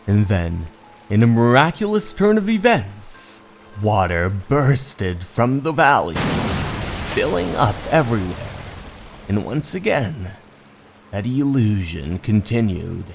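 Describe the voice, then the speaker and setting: A man narrating a scripted horror story in a theatrical, measured way. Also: lowish voice, big pitch swings, vocal fry. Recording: voice over background music.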